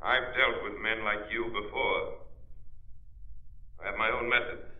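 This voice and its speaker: A man's voice: lowish voice, little pitch movement, measured pace, lightly acted. A man playing a resolute, commanding crime-fighter in an old-time radio drama, speaking sternly and formally. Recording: tinny, distant, echoing old radio recording.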